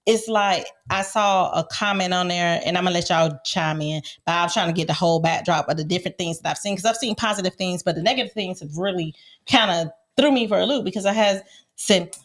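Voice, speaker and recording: A woman's voice: on the low side, brisk. An American woman co-hosting a casual panel podcast, telling things emphatically and repeating herself for effect. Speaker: podcast co-host.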